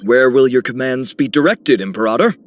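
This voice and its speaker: An actor playing a solemn, noble game hero with a formal, reverent delivery. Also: male voice, mid-range voice, expressive, brisk, close to an everyday voice. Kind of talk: scripted game character lines.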